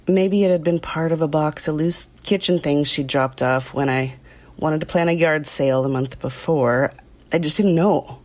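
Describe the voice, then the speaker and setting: Quietly loyal working woman: deep female voice, understated and reflective, recounting events for an audio drama documentary. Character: plain-spoken, quietly loyal working woman recalling the accused.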